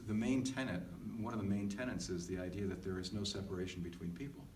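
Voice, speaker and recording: A middle-aged man talking casually and reflectively as a podcast co-host, halting and self-deprecating. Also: low-pitched, darkish tone. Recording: echoey, distant mic with faint noise.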